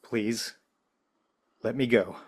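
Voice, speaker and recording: A man's voice: medium pitch, steady tone, very slow. A man acting as a shaken, guilt-ridden, cornered character in a script table read, hesitant and stammering. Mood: anxious, pleading. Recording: music playing behind the voice.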